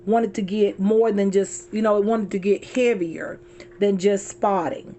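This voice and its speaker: A hoarse middle-aged woman chatting casually to her viewers from her car, calling them y'all and repeating herself as she talks. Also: mid-range voice, steady tone, measured pace, dark tone, slight background noise. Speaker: vlogger.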